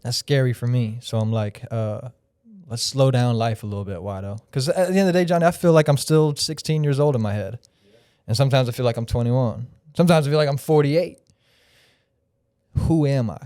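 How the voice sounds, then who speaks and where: Man in his thirties, podcast guest, smooth mellow voice, rambling and reflective. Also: mid-range voice, a little fast, no room sound, clean studio sound. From Florida. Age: 30s. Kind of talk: casual podcast chat.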